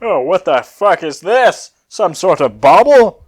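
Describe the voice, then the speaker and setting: A man's voice: sits high, sing-song, unhurried, voice pushed up, full character voice. A man voicing an arrogant, scornful android villain from anime fanfiction, with pompous, taunting delivery.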